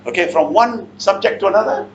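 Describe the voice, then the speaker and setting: Male seminar speaker, Malaysian or Singaporean accent, blunt and quizzing, higher-pitched voice, distant mic in an echoey room. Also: brisk, highs cut off, a little hiss or hum. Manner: blunt, interactive, repeatedly challenges listeners with questions. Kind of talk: motivational seminar talk, quizzing the audience.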